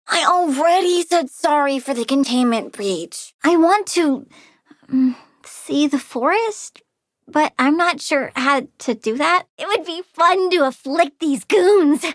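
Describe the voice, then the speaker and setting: A woman's voice: extremely high pitch, dry recording. A woman voicing a childlike young plant-controlling villain girl in a video game, perky, precocious and sassy, eager and cheerfully menacing. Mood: earnest, bratty. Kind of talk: video game character voice lines.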